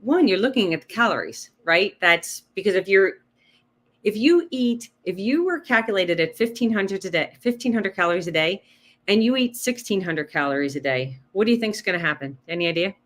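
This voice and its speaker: A woman's voice: medium pitch, brisk. A woman health coach, a guest on a podcast, talking casually and explaining nutrition with numbers, often checking in with "right?". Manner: animated, explains with numbers and rhetorical questions. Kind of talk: casual interview chat.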